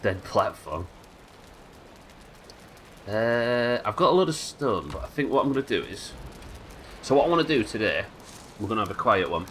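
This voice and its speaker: A man with a nasal voice, a little hoarse from illness, chatting wryly and swearing casually while streaming a game live. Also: fairly low pitch, very animated, moderate pace, long pauses, fairly bright tone, slight background noise. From United Kingdom. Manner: chatty, wry, swears casually, muttering asides. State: sick, slightly hoarse.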